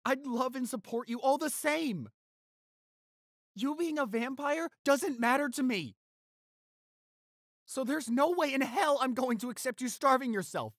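A young man acting an anxious, devoted boyfriend in a roleplay audio, halting and stammering as he pleads. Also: extremely high pitch, expressive, fairly bright tone, no echo, clean studio sound, exaggerated voice. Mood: dismayed.

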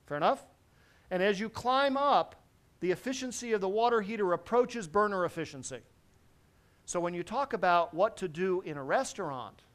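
Lively lecturing man, high clear voice. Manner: lively, quizzes the audience with frequent "right?". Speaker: presenter at a technical symposium. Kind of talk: technical lecture to a seated audience. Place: large conference hall.

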